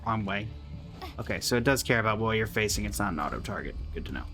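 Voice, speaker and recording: A man's voice: fairly low pitch, animated, fast talker, pushed and bright, soft background music. A man giving casual live commentary while playing a video game, with dry, muttered asides to himself and the game. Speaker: gaming streamer reacting to gameplay.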